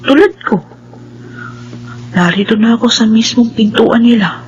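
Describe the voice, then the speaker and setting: A woman's voice: on the high side, measured pace, lo-fi sound, slight background noise, close to an everyday voice. A woman playing a worried, loyal employee in a Filipino radio drama, earnest and talking her actions aloud. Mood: uneasy.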